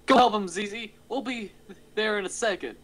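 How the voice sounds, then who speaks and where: A man's voice: high voice, moderate pace, fairly bright tone. A man playing an earnest monster investigator in an amateur script read. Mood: urgent.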